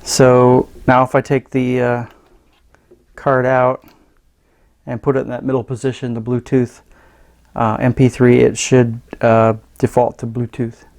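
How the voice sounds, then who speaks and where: Casual man with creaky voice demoing gadgets. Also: mid-range voice, monotone, a little slow, darkish tone. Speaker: radio gadget reviewer. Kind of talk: casual hands-on product demo.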